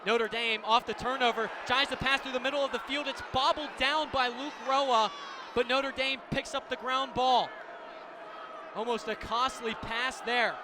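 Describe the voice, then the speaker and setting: High-pitched male play-by-play announcer, noisy background. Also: normal speed, crowd noise. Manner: clipped present-tense phrases, rising to excited calls. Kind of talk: live lacrosse radio commentary. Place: live broadcast at a sports venue. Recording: noisy outdoor stadium ambience.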